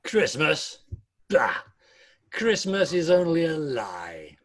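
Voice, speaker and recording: Guy doing a grumpy old miser, kinda high voice, drags his words out slow, sarcastic table read. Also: sing-song, full character voice. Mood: scornful, grumbling. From United Kingdom. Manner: curt, dismissive, sarcastic. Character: miserly, grumpy, scornful old businessman uncle. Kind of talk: amateur table read of a Victorian Christmas story.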